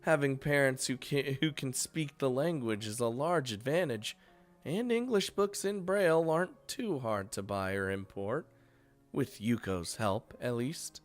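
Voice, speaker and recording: A man reading the lines of a calm, polite blind teenage girl from a visual novel in a plain, measured voice. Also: mid-range voice, faint music behind, natural voice. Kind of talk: reading game dialogue aloud.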